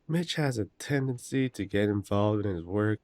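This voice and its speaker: A man playing a courteous, protective eldest werewolf brother in an audio roleplay, gently authoritative and polite. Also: lowish voice, lively intonation, moderate pace, dry recording, close to an everyday voice. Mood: gentle, reassuring. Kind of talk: roleplay audio drama acting.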